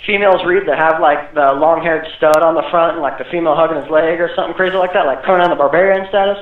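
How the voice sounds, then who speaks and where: High-pitched man on a tinny phone line. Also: fairly even intonation, echoey room. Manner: slangy, easygoing, halting. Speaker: hardware store employee on the phone. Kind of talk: casual phone conversation.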